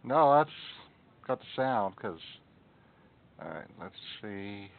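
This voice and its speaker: A man's voice: fairly low pitch, animated, takes it slowly, creaky. A man hosting a live stream, chatting casually and muttering and swearing while he fixes technical trouble at his desk mic. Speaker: stream host. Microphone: desktop mic. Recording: narrow phone-like sound.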